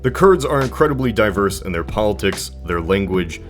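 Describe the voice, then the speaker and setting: A man with a low voice narrates a scripted explainer. His phrasing is dry and emphatic, dropping into conversational asides, and music plays behind him. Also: animated, a little fast. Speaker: video essay narrator.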